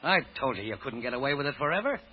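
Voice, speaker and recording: An actor playing a put-upon, streetwise hotel employee with slangy, grumbling delivery in a 1930s radio drama. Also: male voice, mid-range voice, sing-song, rapid-fire, breathy edge. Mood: bitter. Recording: dull vintage radio recording.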